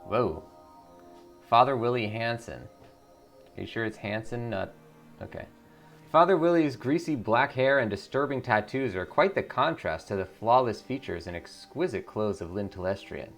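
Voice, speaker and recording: A man's voice: mid-range voice, lively intonation, normal speed, fairly bright tone. A man playing through a video game as a streamer, reading its narration aloud and commenting. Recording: music behind the voice.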